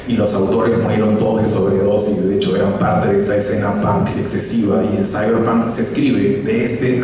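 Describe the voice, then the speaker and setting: A man's voice: lowish voice, a little mellow, slight background noise. Man, echoey hall, far off. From Peru. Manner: hesitant, many fillers and restarts. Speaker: comic book writer on a panel. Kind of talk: conference panel talk. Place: conference hall.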